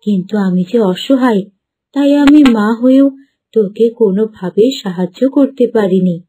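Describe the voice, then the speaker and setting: A woman's voice: mid-range voice, normal speed, dark tone, a little hiss or hum, lightly acted, poor audio. A woman voicing a wronged, protective mother turned into a bird, speaking earnestly and dramatically in a fairy-tale cartoon. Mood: sorrowful, determined.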